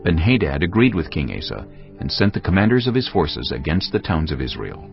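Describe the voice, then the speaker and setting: A man narrating scripture in a measured storytelling style for a dramatized audio Bible. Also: deep voice, very animated, lo-fi sound, soft background music.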